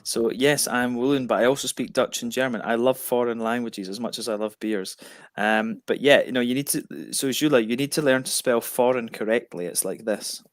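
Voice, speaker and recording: A man's voice: medium pitch, normal speed, few pauses, dry recording, clean studio sound. A man co-hosting a casual beer livestream, joking around, laughing often and reading out viewer comments, sounding tired. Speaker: co-host of a beer review livestream.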